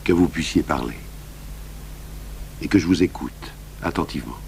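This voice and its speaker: Low-voiced police commissioner. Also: male voice, gravelly, a little mellow. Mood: patient, reassuring. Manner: measured, deliberate, deferential but firm. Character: seasoned male police commissioner, calm, patient, wryly stubborn. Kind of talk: French radio drama acting. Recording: hummy, noisy old radio broadcast.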